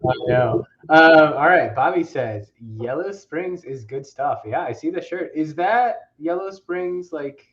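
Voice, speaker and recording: A man's voice: fairly high pitch, animated, a little slow, hardly any pauses. A man co-hosting a casual livestream, reading viewer comments aloud in an easygoing, agreeable way. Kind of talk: casual chat. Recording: slightly dull remote audio.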